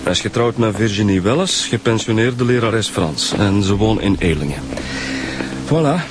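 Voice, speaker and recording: A man's voice: fairly low pitch, expressive, moderate pace, over music, close to an everyday voice. A man acting in a Flemish radio drama as an easygoing junior police inspector, casual and matter-of-fact in his talk.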